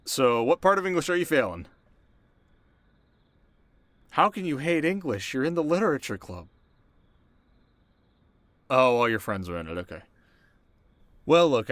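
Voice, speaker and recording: A man playing a cocky but nervous and eager teenage delinquent boy in an audio roleplay, talking in a casual, animated, earnest way. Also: medium pitch, no echo, natural voice. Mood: friendly, earnest.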